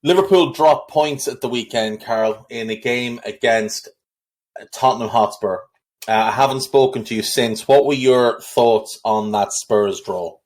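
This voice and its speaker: A man's voice: medium pitch, a little slow, darkish tone. A man hosting a football podcast, talking in a blunt, opinionated way with frequent restarts. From Ireland. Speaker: podcast host. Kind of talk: casual football podcast chat.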